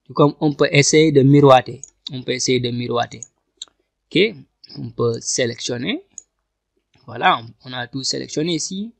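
A man giving a screen-recorded software tutorial, talking through each click step by step and often repeating himself. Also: mid-range voice, normal speed, vocal fry, pauses often, dry recording. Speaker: software instructor. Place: at a computer, voice over screen capture.

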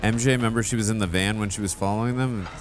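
A man's voice: fairly low pitch, fairly even intonation, quick pace, very noisy. A man in his thirties hosting a casual reaction, musing aloud into a headset mic in a home studio. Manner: musing, laid-back, chuckling. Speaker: reaction host. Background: game audio playing.

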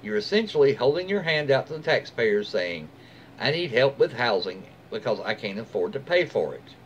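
A middle-aged man reads stories aloud at home in an informal, conversational way. His pitch is mid-range and moves around a lot. Clear room noise sits behind his voice. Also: sing-song, moderate pace, highs cut off. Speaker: story-reading host. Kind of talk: reading forum stories aloud with casual asides. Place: small room at home, seated in an armchair.

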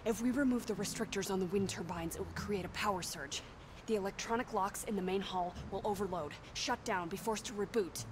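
An actress playing a resourceful, technically skilled young woman in a video game, giving brisk instructions. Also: mid-range voice, background noise, close to an everyday voice. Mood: focused, instructive. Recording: loud music behind the voice.

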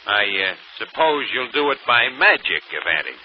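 A man plays a scoffing old vaudevillian in a 1940s radio drama. His rough talk swings up and down, and the broadcast is muffled. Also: mid-range voice, very animated, moderate pace, slight background noise, natural voice. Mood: skeptical, scoffing. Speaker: actor.

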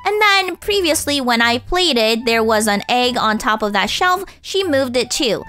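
A woman's voice: fairly high pitch, expressive, moderate pace, sharp tone, faint music behind. A woman casually narrating a game walkthrough, guiding viewers step by step, her voice tired and strained. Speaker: gaming channel host.

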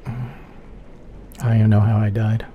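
A man giving soft-spoken ASMR gameplay commentary in a murmur, repeating pet phrases, close to the microphone. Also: on the low side, level tone, slow pace, highs cut off. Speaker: video game streamer. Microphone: close stereo microphone. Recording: faint keyboard typing noise.

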